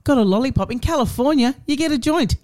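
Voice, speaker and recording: A woman's voice: high-pitched, animated, fast, a little mellow, no room sound. A woman co-hosting a podcast, chatting with enthusiasm. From Tasmania, Australia. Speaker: podcast co-host. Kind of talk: casual chat.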